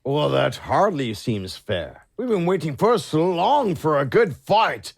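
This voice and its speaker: Guy doing a tired sarcastic squid warrior, slow gravelly drawl, audio drama. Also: on the high side, very animated, exaggerated voice. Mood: disappointed. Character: weary, sardonic male giant squid gladiator.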